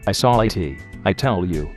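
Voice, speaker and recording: Man as defensive criminal suspect, lowish voice, stilted, bitter, game fandub. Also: animated, normal speed, darkish tone, faint music behind. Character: bitter, defensive male bomber under arrest.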